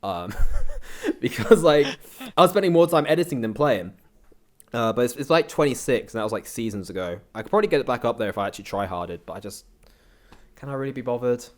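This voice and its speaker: A man's voice: mid-range voice, animated, fast talker. A young British man chatting casually as an interview guest, telling anecdotes in a slangy, rambling way. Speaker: interview guest, content creator.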